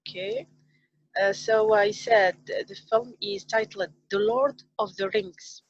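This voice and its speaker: A woman in her thirties from Morocco, a science teacher, retelling a film on a video call in halting English with many fillers. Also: medium pitch, big pitch swings, gravelly, husky. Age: 30s. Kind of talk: casual storytelling on a livestream. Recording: dull lo-fi call audio.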